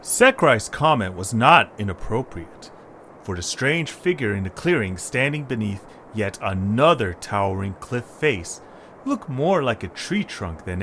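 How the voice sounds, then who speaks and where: Animated male storyteller, faint noise behind. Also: medium pitch. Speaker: narrator. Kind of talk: scripted story narration for an audio drama. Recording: background noise, ambience.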